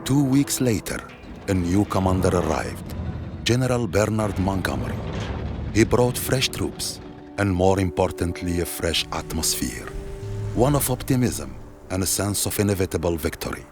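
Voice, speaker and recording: A man playing a solemn, dignified Libyan father who narrates his memories of war in a video game, measured and reflective. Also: low-pitched, animated, over music.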